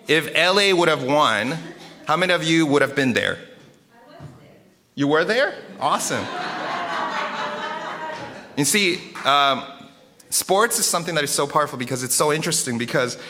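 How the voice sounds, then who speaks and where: A male pastor speaks to his congregation in a bright, forceful voice that sits a little high. He preaches casually through stories, animated and conversational, circling back and repeating phrases as he goes. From Korea and South America. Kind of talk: casual storytelling sermon to a live congregation.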